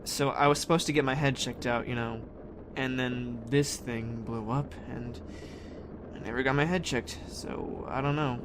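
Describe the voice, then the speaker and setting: A young man playing a blunt, troubled teenage boy in an audio drama, casual and halting. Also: mid-range voice, fairly even intonation, lightly acted. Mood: uneasy. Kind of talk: fan audio drama acting. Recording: noisy ambience behind the voice.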